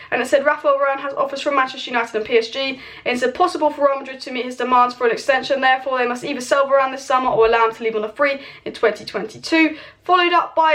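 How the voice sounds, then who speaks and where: A young woman with a high voice gone hoarse rattles through football news at a quick clip. She sounds chatty and opinionated, talking straight to her viewers. She is recorded at home on a camera's built-in mic. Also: fairly bright tone. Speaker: football channel host. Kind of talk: casual transfer news update. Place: home with kitchen clatter nearby.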